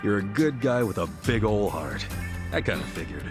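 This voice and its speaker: A man's voice: fairly low pitch, sing-song, normal speed. A man voicing an easygoing young hero who talks in a casual, joking way. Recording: game audio with music behind.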